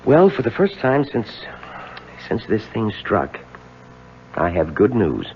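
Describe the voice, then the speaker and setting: An actor playing a grave, careful family doctor in a radio mystery, speaking in a measured, deliberate, professional way. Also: male voice, lowish voice, wildly swooping pitch, heavily acted. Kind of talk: 1970s radio mystery drama acting. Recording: thin, lo-fi, hissy old radio recording.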